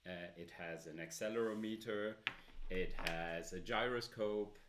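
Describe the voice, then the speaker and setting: A man with a loud voice presenting a smartphone app in a casual demo, with many filler pauses. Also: on the low side, background noise.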